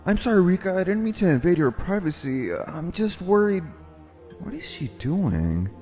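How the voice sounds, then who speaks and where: Man acting anxious young anime-dub guy, swooping pitch. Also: sing-song, moderate pace, mellow. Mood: curious, concerned. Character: anxious, considerate young man narrating his romance. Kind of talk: anime-style dub acting and first-person narration. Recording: music bed behind the voice.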